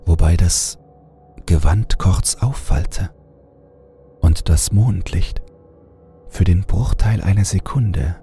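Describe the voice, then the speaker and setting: A man reading a scripted horror story as narrator, measured and suspenseful. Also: low voice, mellow. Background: music.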